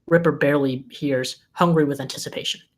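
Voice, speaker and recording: A narrator reads screenplay directions aloud in a scripted delivery. The voice sits at a middling pitch. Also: fairly even intonation, brisk.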